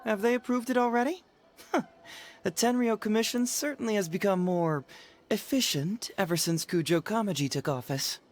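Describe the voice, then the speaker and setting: A man's voice: sits high, moderate pace, close to an everyday voice. A man voicing a clever, easygoing young male detective in a video game, with a light, youthful voice and articulate delivery. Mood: breezy, casual. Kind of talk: video game dialogue acting.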